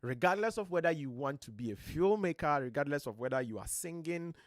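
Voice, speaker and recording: A Ghanaian man with a deep voice, giving earnest, motivational talk as host of a live stream from his home studio, his voice a little strained. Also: lively intonation, brisk, no echo. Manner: earnest, motivational, emphatic rises. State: voice slightly strained.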